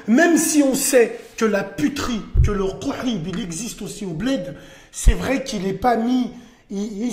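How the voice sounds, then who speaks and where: A man's voice: very high-pitched, a little mellow. A man hosting a live call-in stream, friendly with callers, calling them brother and dropping in Arabic phrases. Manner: friendly, calls people brother, peppers speech with Arabic phrases. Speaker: host. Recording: echoey room sound.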